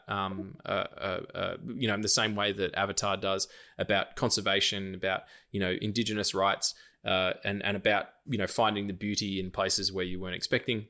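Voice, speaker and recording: Excitable podcast co-host man. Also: on the low side. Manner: excitable, swears freely, jokey. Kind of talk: casual chat.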